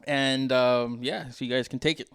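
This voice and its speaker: Clear-voiced man hosting a casual podcast. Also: medium pitch, fairly even intonation, normal speed. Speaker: podcast host. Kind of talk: casual conversational podcast talk.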